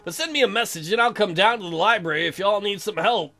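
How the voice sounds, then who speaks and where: A friendly country rancher from a visual novel, read out by a man. His voice is on the high side, folksy and neighbourly. Music plays behind him. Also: fast talker, sharp tone. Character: friendly, folksy bearded male rancher and librarian.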